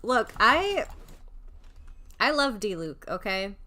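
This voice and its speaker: A woman's voice: medium pitch, big pitch swings, slow, pushed and bright. A woman doing casual live video game commentary, chatty and self-mocking as she thinks aloud.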